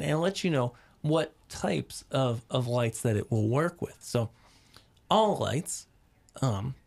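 A man hosting a podcast about stage lighting, casually explaining gear with many ums and restarts while hoarse from a cold. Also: medium pitch, darkish tone. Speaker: podcast host.

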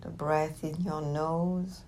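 A woman teacher leading a guided meditation with gentle, unhurried instructions and long pauses. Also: low voice, little pitch movement, a little hiss or hum.

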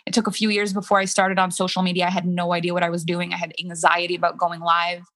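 A woman's voice: fairly low pitch, monotone, quick pace, a bit bright. A woman in her thirties with a French Canadian accent, a French native speaker working as a coach, telling her story candidly in a casual interview, full of "like" fillers. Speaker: business coach.

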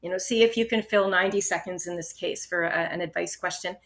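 A woman from Canada explaining speaking-test strategies in an encouraging, steady instructional manner. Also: fairly low pitch, fast. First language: English. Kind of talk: instructional podcast talk.

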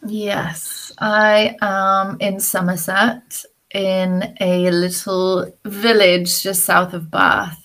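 A woman's voice: medium pitch, little pitch movement, drawn-out words, fairly bright tone. A British woman who works as a women's mentor, talking as a podcast guest in a reflective, rambling way, thinking aloud with fillers. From British, raised partly abroad. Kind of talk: casual interview chat. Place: farm home in a village.

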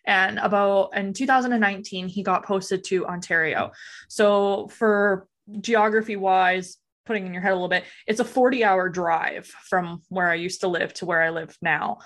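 A woman's voice: medium pitch, steady tone, normal speed, fairly bright tone. A woman from Alberta with a Western Canadian accent, chatting informally and ramblingly as a podcast guest. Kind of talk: casual interview chat.

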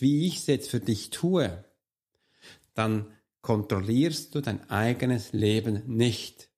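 A hoarse man, a coach and podcast host, talking earnestly and straight to the listener in a solo motivational monologue. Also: medium pitch, darkish tone.

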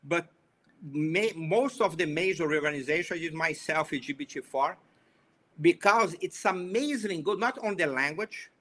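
A man with a mixed Italian and Portuguese accent talks with eager enthusiasm, often stumbling and restarting his phrases. His voice sits a little high, with a bright, forceful edge. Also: moderate pace. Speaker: panel guest. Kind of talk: casual discussion.

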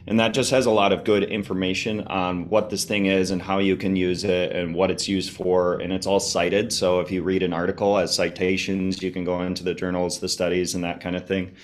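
A man chatting casually as a podcast guest, informal and explanatory, his voice slightly hoarse and a little congested. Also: deep voice, level tone, normal speed. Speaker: podcast guest, health enthusiast. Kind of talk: casual conversational interview.

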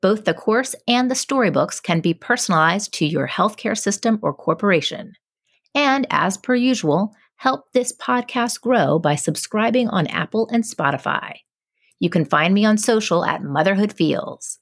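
A woman's voice: medium pitch, lively intonation, normal speed, husky, no room sound, clean studio sound. A woman hosting a podcast, speaking in a scripted presenter style and interviewing guests. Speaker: podcast host and psychologist.

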